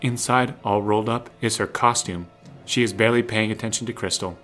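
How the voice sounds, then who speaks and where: A man with a fairly low voice reads scripted drama narration. He sets out the action in the present tense, with faint music underneath. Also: steady tone, a little fast, a bit bright.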